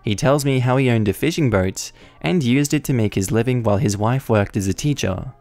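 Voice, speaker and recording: A man's voice: medium pitch, no echo. Guy reading reddit stories out, dry close voice, light music under it. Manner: even, unhurried reading with wry asides. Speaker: story narrator. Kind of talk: reading online forum stories aloud.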